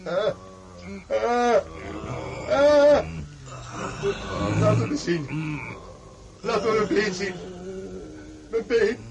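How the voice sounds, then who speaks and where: An actor playing an anguished wounded officer in a radio drama, crying and moaning in pain and horror. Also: male voice, high voice, sing-song, extremely slow, creaky, pauses often, music in the background, exaggerated voice. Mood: agonized, horrified.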